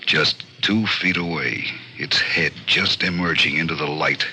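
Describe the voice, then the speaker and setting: A man narrating an old radio thriller in a grim, suspenseful, deliberate manner. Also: a bass voice, breathy edge. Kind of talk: dramatic scripted narration, 1940s-50s radio thriller. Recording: old radio recording, loud music behind.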